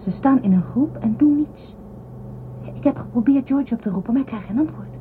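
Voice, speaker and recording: A woman's voice: high voice, animated, fairly quick. A woman playing an earnest, concerned and alert space crew member in a science fiction radio play. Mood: uneasy. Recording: tinny phone-like audio with noise.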